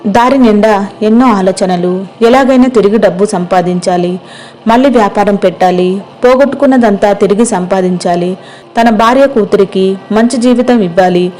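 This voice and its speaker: A woman's voice: medium pitch, a little fast, darkish tone, rough recording. A woman narrator reading a scripted moral story.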